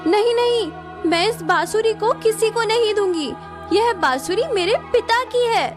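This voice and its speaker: A woman's voice: very high-pitched, animated, normal speed, over music. A woman voicing a young, defiant princess in a cartoon, with an earnest, indignant manner. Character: young princess, defiant captive flute owner. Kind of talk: Hindi moral-story cartoon acting.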